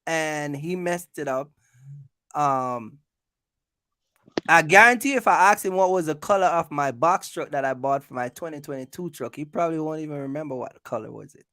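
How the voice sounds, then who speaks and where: A man's voice: a little high, moderate pace, sharp tone, clear, resonant tone, no echo, clean studio sound. A man hosting a casual live quiz, teasing the players and repeating himself for emphasis. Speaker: host of a live quiz for his channel. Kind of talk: casual chat, game-show questioning.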